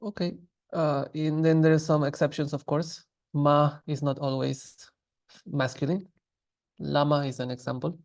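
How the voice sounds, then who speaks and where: Gravelly-voiced man teaching a language class. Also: fairly high pitch, a little slow. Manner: patient, relaxed, with many fillers and restarts. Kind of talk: explaining grammar in a class.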